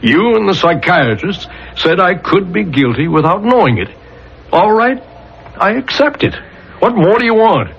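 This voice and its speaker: An exasperated ordinary citizen accused of murder in an old radio mystery. His fairly high voice swings widely as he falters defensively, through a muffled, hissy recording. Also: sing-song, vocal fry, full character voice. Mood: weary exasperation. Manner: agitated, halting, defensive. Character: worn-down middle-class male murder suspect, exasperated, self-doubting. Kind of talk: 1970s radio drama acting.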